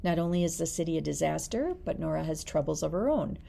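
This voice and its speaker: Creaky-voiced woman presenting book picks. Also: sits low, expressive, fast talker, dark tone, slight background noise. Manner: reads prepared blurbs aloud. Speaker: librarian host. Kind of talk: reading book recommendations, light chat.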